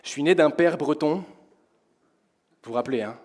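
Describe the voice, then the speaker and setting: A young man from France preaching to his congregation in a church hall, his voice hoarse, talking in a conversational way and asking the congregation questions. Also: a little high, normal speed, darkish tone. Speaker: pastor. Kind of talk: sermon. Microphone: headset with in-ear monitor.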